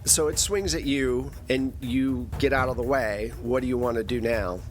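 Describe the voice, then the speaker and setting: A man's voice: mid-range voice, fairly even intonation, normal speed, soft background music. Man narrating as game master. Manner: descriptive, prompting players with questions.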